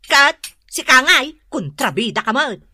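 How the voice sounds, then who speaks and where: Casting: nagging, gossipy rural housewife for radio comedy; very high, piercingly bright voice, indignant and whiny. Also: female voice, a little slow, husky, heavily acted. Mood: grumbling. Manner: animated, complaining, exclamatory. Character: gossip-loving Ilocano village wife, indignant and whiny. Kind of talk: comedy radio drama acting.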